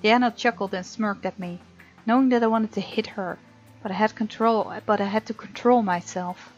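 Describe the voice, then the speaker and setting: A woman gamer reading visual novel narration aloud in an expressive, informal way, with casual commentary of her own. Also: medium pitch, normal speed. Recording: muffled mic, game music behind. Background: game music.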